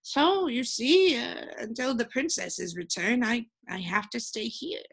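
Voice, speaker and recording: A woman voicing a kindly, resigned old witch from a storybook, speaking in a halting, motherly, reassuring way. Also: a little high, vocal fry, breathy edge, full character voice. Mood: sad, resigned.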